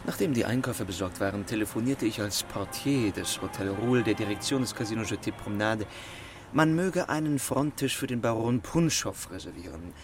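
An actor playing a cool, world-weary 1920s gentleman swindler in a radio play, clipped, imperious and dryly ironic. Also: on the low side, expressive, fairly quick, lightly acted. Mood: smug, explaining.

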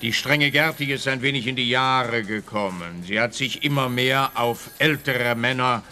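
An actor playing an officious, needling police officer in a radio play, speaking emphatically with a Swiss Standard German accent. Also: male voice, medium pitch, moderate pace, sharp tone, slight background noise. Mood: reluctant, emphatic.

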